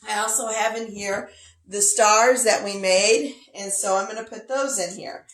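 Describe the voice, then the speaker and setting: A woman with a scratchy voice and a slight cough, teaching a casual quilting lesson from her home sewing studio in a chatty, folksy way. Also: a little high. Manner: chatty, folksy, talks to her dog between steps. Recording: echoey room.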